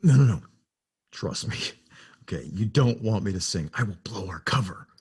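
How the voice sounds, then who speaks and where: A man acting an anxious undercover soldier in a table read, urgent and halting. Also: fairly low pitch, expressive, darkish tone, slightly rough, full character voice. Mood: coaxing, uneasy.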